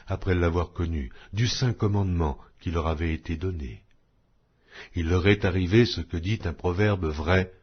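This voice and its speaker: A man reading scripture aloud in a solemn, measured way. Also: deep voice, slightly rough. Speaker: Bible reader. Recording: dull lo-fi audio.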